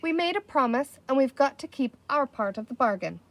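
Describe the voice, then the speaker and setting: A woman voicing a cheerful, kind but bossy young girl in a storybook, speaking firmly and briskly. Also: high voice, expressive, background noise. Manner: firm, brisk, emphatic.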